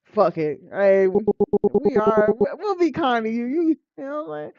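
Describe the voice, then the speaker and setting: A game streamer reading a visual novel aloud in an informal, expressive way, breaking into slangy asides. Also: mid-range voice, normal speed, no echo. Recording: muffled audio with music behind.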